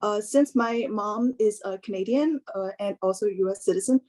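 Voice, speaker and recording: A young woman giving an informal personal talk in a hesitant, halting way, often filling in with "like". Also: medium pitch, darkish tone. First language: English. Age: young adult.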